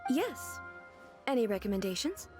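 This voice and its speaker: A woman's voice: fairly high pitch, very animated, moderate pace, over music. An actress voicing a stately, curious female ruler in a video game, speaking formally and with composure. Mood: calm, curious. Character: stately female ruler deity, curious, earnest, sweet-toothed.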